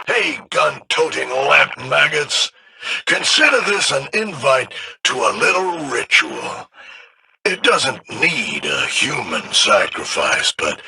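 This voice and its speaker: Sarcastic creature villain for a game: gravelly, drawling, swooping sneers. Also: male voice, mid-range voice, sharp tone, heavily acted, poor audio. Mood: sardonic invitation. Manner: mocking, drawn-out sneering delivery. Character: taunting thuggish enemy creature, sarcastic and scornful. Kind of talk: video game villain dialogue. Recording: clear background noise.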